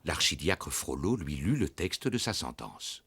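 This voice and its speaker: An actor as the grave storyteller of an animated film, reading scripted narration in a formal, solemn manner. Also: male voice, a bass voice, unhurried, slightly rough, exaggerated voice. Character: grave male storyteller of a dark tale.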